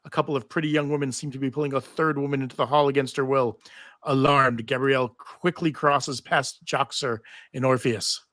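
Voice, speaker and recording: A man's voice: medium pitch, fairly even intonation, fairly quick, fairly bright tone, no echo, clean studio sound. A man reading TV script stage directions aloud at a live table read, theatrical with wry asides. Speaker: table read narrator and panel host. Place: live convention panel.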